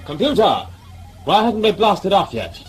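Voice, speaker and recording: A man's voice: high voice, very animated, moderate pace, over music. A man playing an imperious, short-tempered governor in a sci-fi audio drama, pompous and dismissive. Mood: irritated. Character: irascible, imperious male governor of a scrap ship.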